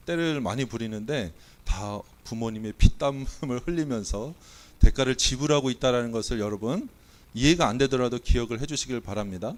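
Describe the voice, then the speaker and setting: A Korean pastor delivers a sermon in a church with his voice weakened by a cold. He speaks at an ordinary pitch and keeps things playful, throwing quiz questions to the congregation and calling out their bids. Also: lively intonation, a little fast. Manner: playful, quizzing and auctioning with the congregation. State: has a cold, voice not coming out well. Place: church sanctuary.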